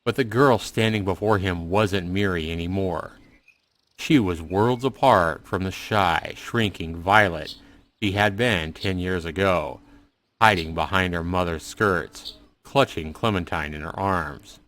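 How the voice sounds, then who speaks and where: A man's voice: deep voice, unhurried, fairly bright tone. A man narrating a story aloud in a dramatic storytelling style, as a host reading to viewers. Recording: music behind the voice. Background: music.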